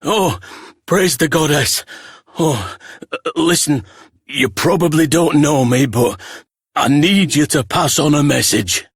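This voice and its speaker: Raspy, faltering dying man. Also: on the high side, dry recording, studio quality, full character voice. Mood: weak, urgent. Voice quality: raspy, creaky. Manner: faltering, halting, weak. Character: dying, half-blind man gasping out a last message. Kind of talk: scripted video game drama dialogue.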